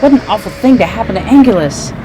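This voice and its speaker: An actress in an audio drama playing a sensible, sympathetic woman with a measured, dramatic delivery. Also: medium pitch, lively intonation, noisy background, natural voice. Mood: concerned. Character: sympathetic woman companion of scientist's family, sensible, consoling. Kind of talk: full-cast audio drama acting.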